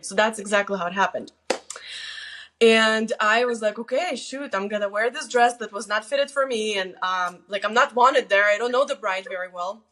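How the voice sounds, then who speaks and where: A woman in her forties from Lithuania, a guest telling personal stories casually in an animated, self-deprecating way. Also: mid-range voice. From Lithuania, moved to the US as a teen. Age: 40s. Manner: animated, self-deprecating, quotes herself and others. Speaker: guest on her daughter's show.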